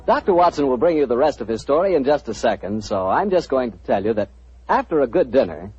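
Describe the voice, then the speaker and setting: A man reading a scripted sponsor commercial as a radio announcer, with a smooth, persuasive delivery. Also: a little high, darkish tone, no room sound. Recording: dry, lo-fi 1940s radio recording.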